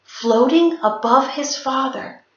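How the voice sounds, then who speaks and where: A woman tells a folk tale as a dramatic performance. Her voice is fairly high, and she speaks very deliberately with expressive suspense. The room is echoey around her. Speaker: storyteller. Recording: roomy, slightly dull sound.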